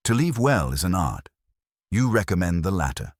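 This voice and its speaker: A man reading a genteel, evasive gentleman suspect in an audiobook, dry, formal and composed. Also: low voice, big pitch swings, moderate pace, no room sound, voice pushed up, full character voice. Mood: unruffled, wry.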